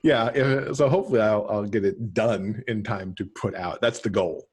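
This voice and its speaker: A middle-aged man chatting casually as a podcast guest on a video call, pausing to think as he goes. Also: on the low side, darkish tone, husky. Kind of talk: casual conversational interview. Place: home room, on a video call.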